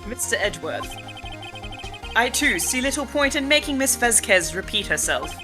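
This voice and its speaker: A woman voicing a mild, formal, easily puzzled courtroom judge in a game fan dub, speaking deliberately. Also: fairly high pitch, very animated. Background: music.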